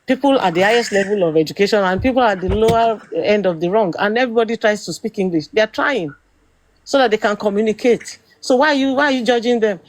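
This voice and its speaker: A middle-aged Nigerian woman hosting a talk, ranting forcefully and firing off rhetorical questions. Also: medium pitch, quick pace. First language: Yoruba. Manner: emphatic, rhetorical questions, rising to exclamations. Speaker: host. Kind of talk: opinionated commentary.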